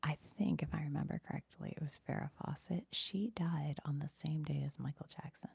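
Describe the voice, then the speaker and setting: A woman speaks in a very breathy whisper that crackles with heavy vocal fry. She reads quiz material for ASMR and drops in casual, murmured asides. The sound is close and dry. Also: deep voice, a little fast, dry recording. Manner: hushed, musing aloud. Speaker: ASMR streamer reading trivia questions and viewer comments.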